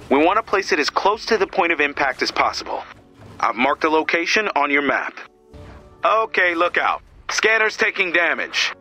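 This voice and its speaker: An actor playing a no-nonsense, exasperated heroic team leader giving mission dialogue in a video game. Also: male voice, on the high side, sharp tone, full character voice. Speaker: mission commander.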